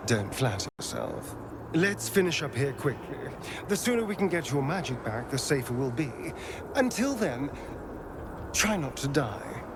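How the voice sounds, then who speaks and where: An actor playing a vain, sardonic adventuring companion in a fantasy video game, with an arch, theatrical delivery and a biting wit. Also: male voice, medium pitch, big pitch swings, a little slow, background noise. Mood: dry sarcasm.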